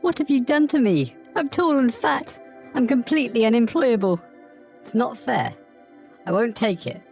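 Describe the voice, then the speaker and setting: An actor playing an aggrieved, oversized man with a high, creaky voice, whining in protest, a man's part in a horror play. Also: expressive, moderate pace, a little mellow, faint music behind, heavily acted. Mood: indignant. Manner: whiny, protesting.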